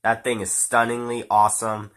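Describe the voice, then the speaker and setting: A man's voice: lowish voice, monotone, normal speed, a bit bright. A man with a stuffy nose from a cold, giving a rambling, casual spoken film review with fillers and the odd swear. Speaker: movie reviewer.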